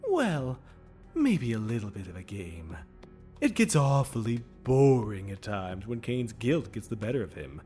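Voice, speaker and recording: Casting: a teasing demon villain, slow and theatrical, swooping between silky flirtation and menace, over music. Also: male voice, mid-range voice, very animated, dark tone. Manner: languid, teasing, drawn-out phrasing with pet names. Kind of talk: audio drama acting.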